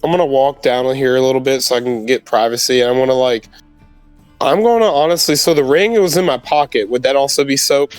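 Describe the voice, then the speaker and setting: A man's voice: mid-range voice, fairly quick, no echo, soft background music. A man chatting casually as a player in a tabletop role-playing game.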